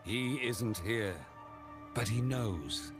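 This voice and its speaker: An actor playing a calm, commanding peacekeeper of a camp in a fantasy game, speaking in a measured, firm way. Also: male voice, fairly low pitch, music in the background. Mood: earnest, welcoming.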